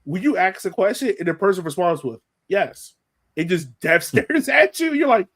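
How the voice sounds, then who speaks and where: A man hosting a sports podcast, talking through a match in an animated, casual way and often checking his points with a quick "right?". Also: high voice. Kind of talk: casual match analysis chat.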